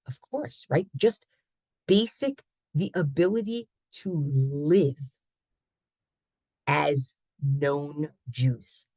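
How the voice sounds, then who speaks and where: Woman teacher on a video call, low gravelly voice, lively, checks in with "right?". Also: dry recording. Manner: lively, asks "right?" often. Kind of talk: online Torah class.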